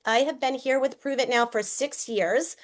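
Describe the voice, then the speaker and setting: A woman in her fifties with a Boston accent leads a live-streamed sales presentation. Her voice sits a little high. She talks to her viewers like friends, often tagging "right?" and asking them to drop a comment. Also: normal speed. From Boston area, USA. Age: 50s. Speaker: network marketing presenter.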